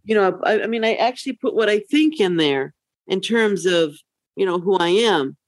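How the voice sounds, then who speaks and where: A woman's voice: mid-range voice, lively intonation, normal speed, no room sound. A middle-aged woman podcast co-host chatting casually in a candid, rambling way. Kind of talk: casual chat.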